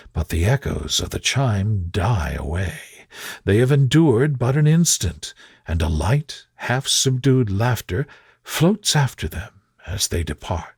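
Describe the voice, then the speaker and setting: A man narrating a gothic bedtime story in a soothing, measured storytelling manner. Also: mid-range voice, a little mellow, dry recording, clean studio sound.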